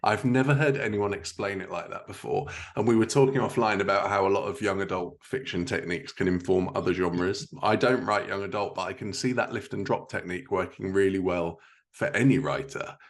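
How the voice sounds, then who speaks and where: Male podcast host, low register, conversational and affirming interviewer. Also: brisk. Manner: affirming, reflects back the guest's points.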